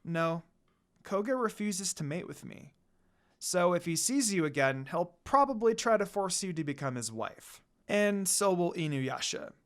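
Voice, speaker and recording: A man reads as a kind young wolf-demon princess in a storybook romance. The delivery is gentle and soft, with a lightly lilting pitch. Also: very animated, measured pace, clear, resonant tone, heavily acted. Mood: sad.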